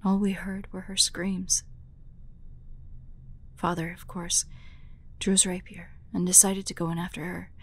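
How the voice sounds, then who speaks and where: A forlorn young huntress, played by a woman in a fantasy audio drama. Her voice is slightly low, soft and breathy. She speaks very slowly and haltingly, haunted by grief and set on revenge. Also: little pitch movement. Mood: haunted, grieving. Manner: slow, halting, haunted storytelling. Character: forlorn young demon hunter woman, grieving and vengeful. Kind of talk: dramatic audio roleplay monologue.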